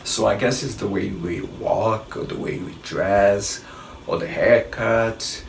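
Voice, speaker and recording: Gravelly Brazilian-accented man, roomy distant sound. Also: on the low side, slow pace, a little hiss or hum. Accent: Brazilian Portuguese. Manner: rambling, restarts sentences, uneven grammar. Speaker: travel vlogger. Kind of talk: casual travel tips monologue. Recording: roomy, distant mic with faint ambience.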